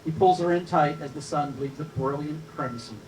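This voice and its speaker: A man's voice: on the high side, normal speed, a little mellow. A man reading scripted stage directions as narrator at a live table read, recorded from a distance with some noise.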